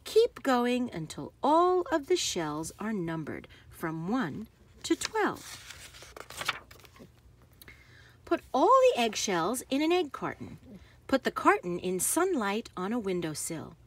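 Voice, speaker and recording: A woman narrates a picture book for young children in a slightly husky, medium-pitched voice. Her pace is unhurried and carefully phrased, and her intonation rises and falls expressively. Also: big pitch swings.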